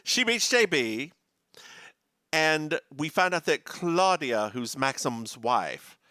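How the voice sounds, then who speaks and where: Bright, animated man gossiping about TV. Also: a little high, slow pace, dry recording. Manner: animated, campy, mimics characters. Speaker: podcast co-host. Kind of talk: casual chat recapping a TV episode.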